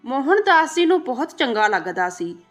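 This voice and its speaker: A woman's voice: high-pitched, big pitch swings, normal speed, faint music behind. A woman narrating an emotional moral story from a script as a storyteller.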